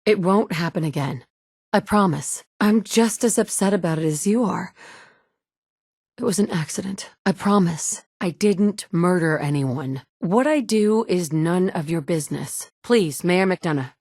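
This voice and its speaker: A woman's voice: on the low side, animated, measured pace, darkish tone, dry recording, studio quality. A woman voicing a tough, blunt female survivor in a game, with curt, commanding lines. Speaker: player character. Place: recording studio. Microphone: close studio mic.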